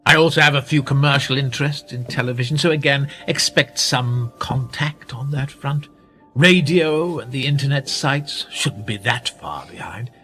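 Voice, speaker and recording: An actor playing a powerful, manipulative titled gentleman in a radio play, measured and condescending, with quiet menace. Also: medium pitch, natural voice. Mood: brisk, assured. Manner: measured, condescending, with rhetorical tag questions. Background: music.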